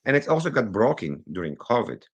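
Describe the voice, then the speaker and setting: A man who grew up in Israel, speaking English as a non-native speaker, telling his story as a guest on a remotely recorded interview with animated, digressive delivery. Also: mid-range voice, husky, lo-fi sound. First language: not English. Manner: animated, rushes through some phrases, digressive. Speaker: guest, cybersecurity consultant. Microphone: remote recording, virtual call.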